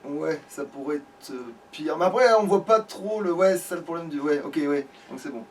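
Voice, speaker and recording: Man, let's play gamer, casual crude chat, somewhat high pitch, faint background noise. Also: animated, normal speed, recorded from a distance. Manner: crude, swearing, slangy.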